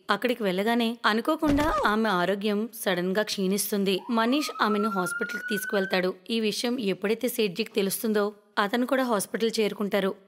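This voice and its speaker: A woman's voice: medium pitch, a little hiss or hum. A woman giving scripted narration of a cartoon moral story. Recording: long reverberant echo.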